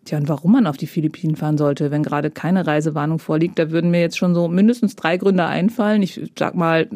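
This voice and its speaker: A woman's voice: low voice, steady tone, quick pace, mellow, no room sound, studio quality. A woman hosting an interview, asking curious, playful questions and laughing often. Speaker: podcast host. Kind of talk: conversational interviewing.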